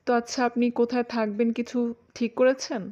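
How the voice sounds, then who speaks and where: A woman's voice: on the high side, flat delivery, fairly quick, darkish tone, highs cut off, lightly acted. A woman voicing a polite, helpful town doctor in a cartoon, courteous and earnest. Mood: kindly. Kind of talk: cartoon voice acting.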